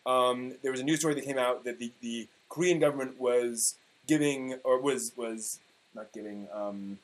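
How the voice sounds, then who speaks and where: A man in his forties giving a mock-dramatic, emphatic reading of an internet post, halting here and there over the text. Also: mid-range voice, poor audio. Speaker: performer reading old forum posts. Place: small room at home, indoors.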